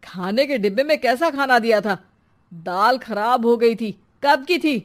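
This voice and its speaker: A man's voice: extremely high pitch, expressive, measured pace, a bit bright, heavily acted. A man playing a bullying middle-aged husband and father in a dramatised story, scolding with contempt. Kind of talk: dramatised Hindi moral story.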